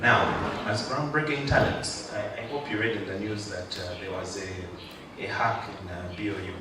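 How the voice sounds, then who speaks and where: A man's voice: lowish voice, steady tone, moderate pace. A man delivering a motivational graduation speech to an audience, rousing and joking, drawing responses from the crowd. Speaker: guest speaker. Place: large hall at a ceremony. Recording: echoey hall, mic far off, background noise.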